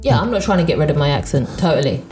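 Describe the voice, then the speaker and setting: A woman's voice: low-pitched, very animated, rapid-fire, darkish tone, faint music behind. A woman co-hosting a casual chat, talking emphatically and dropping slangy asides. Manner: emphatic, uses slang like "point blank". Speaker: podcast co-host.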